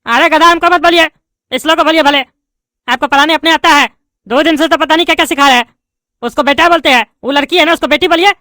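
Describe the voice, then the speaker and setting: A woman's voice: high-pitched, quick pace, sharp tone, no room sound. A woman voicing a brash, touchy bald male politician who argues indignantly, with a lilt. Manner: argumentative, indignant, bossy. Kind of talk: cartoon comedy voice acting.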